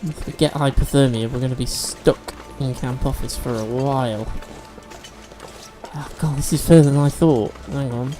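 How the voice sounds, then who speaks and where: A man's voice: mid-range voice, moderate pace, warm tone, faint music behind. A man hosting a let's-play video, chatting and explaining game mechanics as he plays, a bit sniffly from allergies. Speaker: gaming video host.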